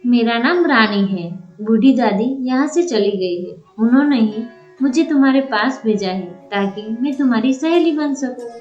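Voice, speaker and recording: A woman voicing a gentle, secretive fairy in a Hindi moral story cartoon, soothing and coaxing, with echoey, dull sound. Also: a little high, lively intonation, moderate pace, faint music behind, natural voice. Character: gentle, secretive young fairy befriending a child.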